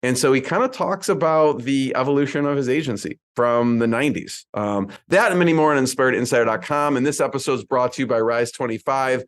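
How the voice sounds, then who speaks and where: A man's voice: mid-range voice, fairly quick, few pauses. Chatty male podcast host. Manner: chatty, filler-heavy with many ums. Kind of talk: conversational interview intro.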